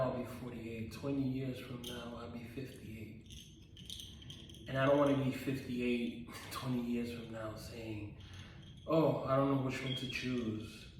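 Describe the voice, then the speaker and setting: A man's voice: mid-range voice, darkish tone, recorded from a distance, slight background noise. A man in his thirties giving a personal testimony vlog, earnest and repetitive, with frequent ums and self-corrections. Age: 30s. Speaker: vlogger. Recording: very echoey room, voice away from the mic.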